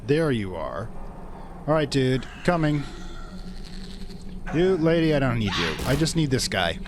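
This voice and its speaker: A man's voice: medium pitch, very animated, measured pace, heavy background noise. A man giving wry, exclamatory commentary while he plays a video game, muttering and reacting as he goes. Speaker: let's play gamer. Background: loud game music and sound.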